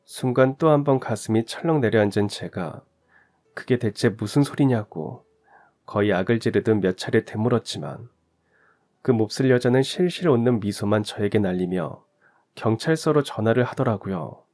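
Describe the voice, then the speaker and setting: A man's voice: lowish voice, moderate pace, darkish tone, soft background music. A man reading a first-person story aloud in an earnest, confiding storytelling manner. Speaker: story narrator. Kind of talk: scripted first-person narration.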